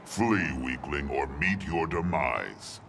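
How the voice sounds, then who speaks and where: An actor playing a merciless ancient alien god-conqueror in a video game, gravelly and booming, with slow imperious menace. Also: male voice, expressive, noisy background, full character voice. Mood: menacing threat. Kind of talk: video game villain acting. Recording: cavernous reverb, loud game sound behind.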